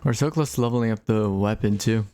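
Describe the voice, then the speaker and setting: A man's voice: lowish voice, fast, warm tone, smooth, soft background music. A man doing casual, laid-back gameplay commentary on a livestream, chatting as he plays. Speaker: game livestreamer.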